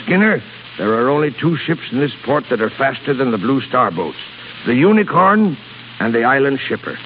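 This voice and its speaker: A man's voice: medium pitch, big pitch swings, moderate pace. An actor playing a crusty, blustering old shipping boss in his sixties, sputtering salty seafaring talk in 1930s radio style. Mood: scheming. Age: 60s. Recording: crackly, tinny 1930s radio broadcast.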